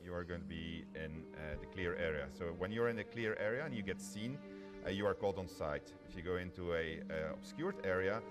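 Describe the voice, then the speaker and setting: A man's voice: sits low, expressive, fairly quick. A man giving an informal live gameplay demo as a developer, hesitant and thinking aloud as he goes. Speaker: game developer presenting a demo. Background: loud music.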